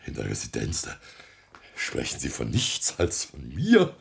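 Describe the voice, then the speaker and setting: A self-important elderly town mayor in a radio comedy. The voice is very deep and unhurried, swinging widely as he declaims and blusters. The delivery is theatrical and full of stuffy officialese. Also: huge pitch swings, gravelly, full character voice. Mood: awed, self-important. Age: older.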